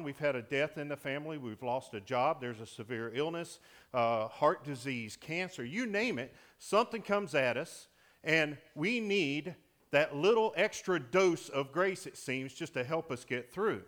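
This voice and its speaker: A fairly high-voiced man preaching in a church. He is a deacon with a big voice, and he swings between booming emphasis and near-whispers. He speaks into a microphone he wears. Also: unhurried. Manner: animated preaching, swings from loud to hushed. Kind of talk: sermon. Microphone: wearing a microphone.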